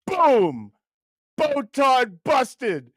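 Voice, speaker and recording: A man acts out a whiny, entitled puppet comedian in a high voice that swoops around. The complaining is slow, drawn-out and self-important, in a comic puppet-show style, recorded close and dry. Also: sing-song, a bit bright, no room sound, heavily acted. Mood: gleeful, boastful. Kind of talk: puppet show parody monologue.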